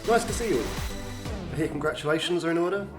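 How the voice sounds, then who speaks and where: A man's voice: on the high side, expressive, quick pace, echoey room, over music, close to an everyday voice. A man playing a polite, guarded government agent with a cool approving manner in an audio drama.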